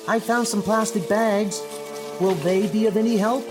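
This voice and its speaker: A man's voice: high-pitched, moderate pace, over music, voice pushed up, close to an everyday voice. A man voicing a spirited, emotional young superheroine with a sassy, affectionate temper in a comic dub. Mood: eager.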